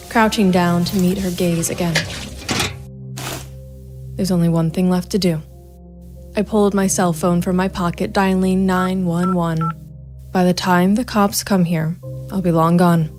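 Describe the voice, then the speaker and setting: A woman's voice: fairly low pitch, a little mellow, in a large hall, close to an everyday voice. An actress playing a cold, methodical female private investigator and vigilante, narrating a noir audio drama in a hard-edged, matter-of-fact way. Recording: music bed behind the voice.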